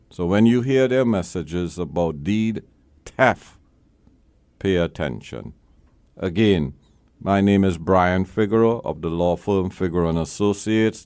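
A man's voice: low-pitched, darkish tone, dry recording. A man hosting a call-in legal advice show as a lawyer, talking bluntly and with emphasis, repeating his key points.

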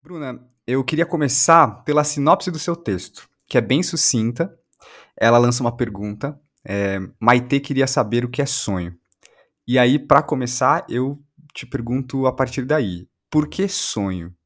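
A man's voice: medium pitch, measured pace. A man with a Brazilian Portuguese accent hosting a podcast, introducing and interviewing.

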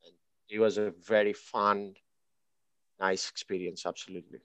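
Smooth-voiced Greek man in his twenties. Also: fairly low pitch, normal speed, no echo. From Greece. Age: 20s. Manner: relaxed, reflective, with fillers and laughter. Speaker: interview guest. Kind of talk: casual conversation.

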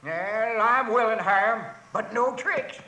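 An actor playing a crotchety, suspicious old rancher and feud patriarch with rustic, combative speech in a Western radio drama. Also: male voice, sits high, takes it slowly, sharp tone, heavily acted. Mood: scornful. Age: older. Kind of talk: 1950s Western radio acting. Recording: roomy, noisy old radio transcription.

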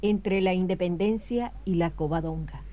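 A woman narrating a scripted historical radio drama in a solemn, measured way. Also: lowish voice, close to an everyday voice. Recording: dull lo-fi radio recording with music.

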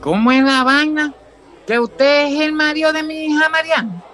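An actor playing a gruff, foul-mouthed Venezuelan father and bar owner in a radio soap, blunt and emotional. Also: very high voice, moderate pace. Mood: startled. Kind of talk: radio soap opera acting. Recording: prominent guitar music and crowd noise behind.

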